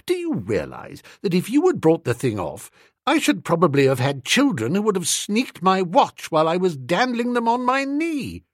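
A man's voice: high voice, wildly swooping pitch, normal speed, dry recording, studio quality, voice pushed up, heavily acted. A man playing an indignant, breezy young upper-class Englishman in a storybook, with a posh British accent and slangy, emphatic talk. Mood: reproachful.

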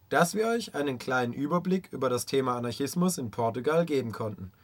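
A man presenting a radio feature in scripted narration, addressing listeners directly. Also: medium pitch.

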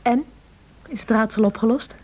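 Een actrice in een Nederlands hoorspel speelt een sluwe, praktische echtgenote die bazig en vleiend aandringt. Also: female voice, a little high, moderate pace, muffled recording, a little hiss or hum, lightly acted. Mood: conspiratorial. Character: shrewd, scheming, persuasive wife, practical and bossy. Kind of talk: Dutch radio play acting.

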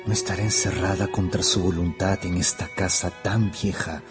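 Radio drama lead, male actor: infatuated young man, very deep, intense inner monologue over music. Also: fairly even intonation, moderate pace, close to an everyday voice. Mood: troubled wondering. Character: Felipe, brooding infatuated young man thinking aloud. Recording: music bed under the voice.

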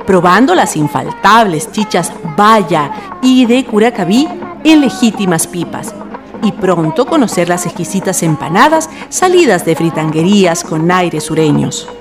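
A woman with a Chilean accent giving scripted narration for a radio drama. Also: lowish voice.

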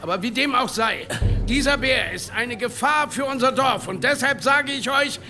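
An actor playing a commanding village leader in a German radio drama, speaking with measured authority. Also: male voice, very high-pitched, music in the background. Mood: rallying. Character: commanding male village leader, rallying the hunt. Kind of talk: German radio play acting.

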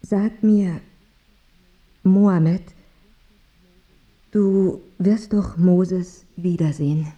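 A woman's voice: fairly low pitch, drawn-out words, long pauses, muffled recording. An actress playing an anxious, remorseful mother in a radio drama, speaking hesitantly. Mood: confessional, earnest. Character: anxious, remorseful mother who left her son long ago.

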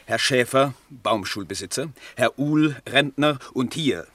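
An actor in a German radio play as a smooth-tongued, ceremonious party spokesman and factory owner, speaking in formal oratory. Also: medium pitch, unhurried, fairly bright tone.

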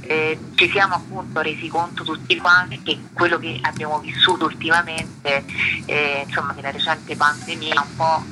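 A woman's voice: low-pitched, level tone, normal speed, background noise. A woman speaking as a radio interview guest, unscripted and hesitant with many filler words.

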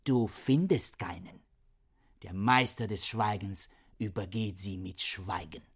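An actor in a radio play playing a cold, obsessive, misogynist scholar who talks in a pedantic, declamatory way. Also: male voice, lowish voice, slow, slightly rough. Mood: cold, lecturing. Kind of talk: radio drama acting, literary dialogue.

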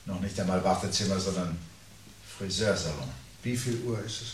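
An actor playing a brooding, articulate man who calmly awaits the world's end in a radio play, speaking in a measured, formal, sardonic way. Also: low-pitched, natural voice. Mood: resigned, brooding. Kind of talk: 1970s German radio play acting. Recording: 1970s radio production with audible background noise.

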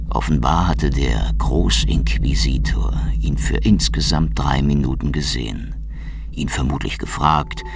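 An actor playing a cynical, wisecracking Soviet secret police investigator who narrates a hardboiled radio crime drama in a sardonic, laconic way. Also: male voice, very deep voice, gravelly, noisy background, full character voice. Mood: bitter, wry. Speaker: narrator and lead detective. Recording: music behind the voice.

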